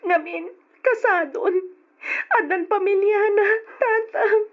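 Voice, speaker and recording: An actress playing a remorseful pregnant young daughter who pleads through tears, in an old radio drama. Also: female voice, very high-pitched, takes it slowly. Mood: tearful pleading. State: crying. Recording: dull old radio recording.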